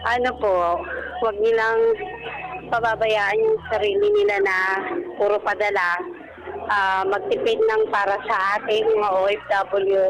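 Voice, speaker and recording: A Filipino woman calls in to a radio show with a mid-pitched voice. She speaks politely about personal matters, with music playing clearly behind her. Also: big pitch swings, measured pace, long pauses, low-quality recording. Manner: polite, deferential speech. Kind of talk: personal phone-in talk. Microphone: phone call. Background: background music.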